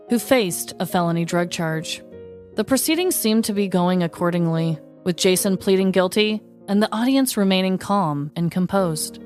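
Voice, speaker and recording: A woman's voice: lowish voice, darkish tone. Wry woman narrating comedic true crime. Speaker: podcast host and storyteller.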